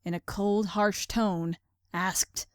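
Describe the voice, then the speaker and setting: A woman's voice: mid-range voice, fairly even intonation, slow pace, clear, resonant tone, no room sound, clean studio sound. A woman reading scripted storybook narration as the narrator of a fan fiction tale.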